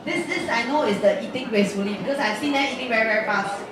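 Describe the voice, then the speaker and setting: A woman's voice: on the high side, fast, heavy reverb. Woman mc w hyping a crowd, kinda far from mic, music n crowd behind. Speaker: event emcee. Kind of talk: live event emceeing. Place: busy shopping mall food court. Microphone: handheld mic.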